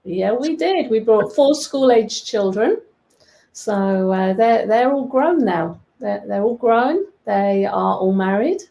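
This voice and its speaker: English woman with a gravelly voice. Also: a little high, lively intonation, slow pace, mellow. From England. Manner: playful, self-mocking. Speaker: guest quilting teacher. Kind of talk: casual chat. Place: home sewing room over video call. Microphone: video call.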